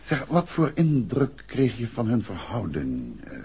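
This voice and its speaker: An actor playing a composed, thoughtful gentleman detective, speaking in a measured, inquisitive way in a 1960s radio drama. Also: medium pitch, huge pitch swings, breathy edge, heavily acted. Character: composed, thoughtful gentleman amateur detective, middle-aged husband. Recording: dull, faintly noisy old radio recording.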